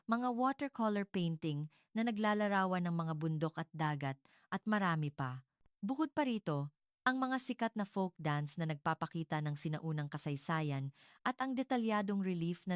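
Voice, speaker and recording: A woman with a low-pitched voice reads a scripted first-person story. Her delivery is formal and measured, as a narrator's is. The sound is close and dry, with almost no room in it. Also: smooth, no echo.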